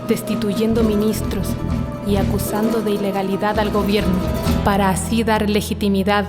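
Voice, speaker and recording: A woman gives a measured, scripted dramatic political narration for a game intro. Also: mid-range voice, level tone, long reverb tail. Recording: narration under loud music. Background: loud music.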